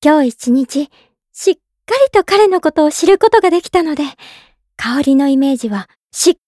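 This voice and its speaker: Very high-pitched, gentle young woman in love. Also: lively intonation, moderate pace, no room sound, clean studio sound. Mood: tender. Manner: soft-spoken polite, earnest, sweet. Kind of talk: Japanese drama CD monologue, narrating in character.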